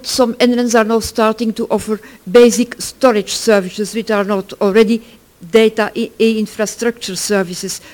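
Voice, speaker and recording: A middle-aged or older speaker from Belgium, giving an informal conference presentation in halting, self-correcting English. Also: medium pitch, darkish tone. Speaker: project work package leader, conference presenter.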